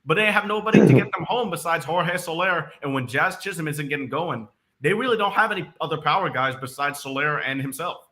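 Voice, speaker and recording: Man, sports podcast co-host, bright tense tone, somewhat high pitch, animated casual talk. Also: fairly quick. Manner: animated, goes up in pitch when making a point.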